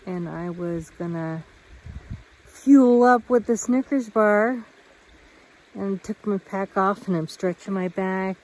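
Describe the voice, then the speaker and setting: Slow, rambling woman on a hiking trail. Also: mid-range voice, a little mellow, low-quality recording. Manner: rambling, thinking aloud. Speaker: thru-hiker vlogger. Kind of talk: casual trail vlog. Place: outdoors on a mountain trail.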